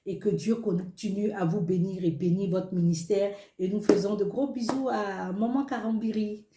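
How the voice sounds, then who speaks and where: Warm-toned woman hosting in an echoey studio. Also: medium pitch, fairly even intonation, moderate pace, slight background noise. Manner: affirming, with frequent amens. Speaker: show host.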